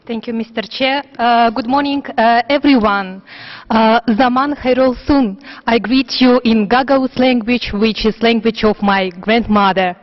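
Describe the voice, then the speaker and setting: A woman's voice: on the high side, a little slow. Hesitant Moldovan woman at a forum. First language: Russian. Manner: hesitant, with frequent "uh" fillers. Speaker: youth activist speaking at a forum. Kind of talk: formal speech greeting in several languages. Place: large conference room. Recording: dull lo-fi conference recording.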